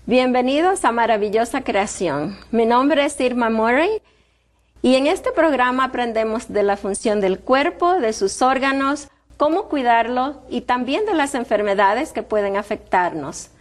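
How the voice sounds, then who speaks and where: A woman's voice: on the high side, expressive, measured pace. Panamanian woman hosting an interview. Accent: Panamanian Spanish. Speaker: host. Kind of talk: health program interview.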